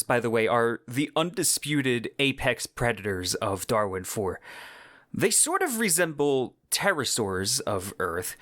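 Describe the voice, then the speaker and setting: A man's voice: medium pitch, a bit bright, no echo. Man narrating a podcast, very dry sound. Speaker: podcast host. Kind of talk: explanatory science fiction podcast narration.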